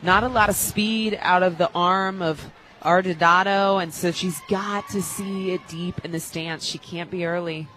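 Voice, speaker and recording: A woman's voice: lowish voice, steady tone, a little slow, a bit bright, slight background noise, poor audio. An American woman working as a radio color commentator, explaining the game in a conversational, analytical way. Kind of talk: analytical live sports commentary. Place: stadium radio booth.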